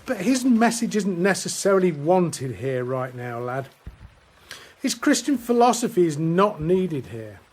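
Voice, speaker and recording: An actor playing a grim, weary First World War soldier counselling a lad in a radio drama, measured and earnest with pauses. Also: male voice, high voice, sing-song, darkish tone, heavily acted. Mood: grim, intense.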